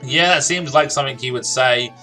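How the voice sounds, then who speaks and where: A man's voice: mid-range voice, a little fast, sharp tone, faint music behind. A man doing casual, jokey gaming commentary, talking to himself and reading game dialogue aloud. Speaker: gaming streamer.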